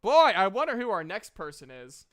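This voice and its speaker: A male game master narrates a tabletop session. His voice is bright and clean, slightly high, and he guides the players slowly with playful teasing. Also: huge pitch swings, clean tone.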